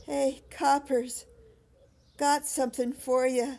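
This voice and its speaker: A woman's voice: sits high, flat delivery, slow pace, vocal fry, darkish tone, heavily acted. An actress playing a defiant, foul-mouthed young woman captive in an audio drama, sarcastic and tough. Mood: weary swagger.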